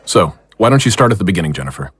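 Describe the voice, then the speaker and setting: A man's voice: sits low, sing-song, racing, fairly bright tone, husky, dry recording, full character voice. A man acting a weary but determined investigator in an audio drama, with a wry manner. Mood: calm, persuasive. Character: weary, determined male investigator of strange happenings.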